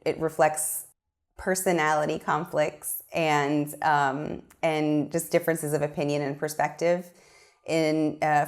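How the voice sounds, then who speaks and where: Low-voiced earnest woman filmmaker. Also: darkish tone. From Miami, USA. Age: young adult. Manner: thoughtful, earnest, with fillers. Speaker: filmmaker guest. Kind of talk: interview conversation. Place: studio.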